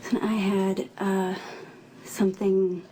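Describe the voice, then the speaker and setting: An actress playing a caring, candid aunt in a television soap drama, speaking in an earnest, gently persuasive way. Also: female voice, mid-range voice, level tone, slow, lightly acted. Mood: earnest, pleading. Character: caring, candid aunt urging a child toward help. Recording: clearly noisy background ambience.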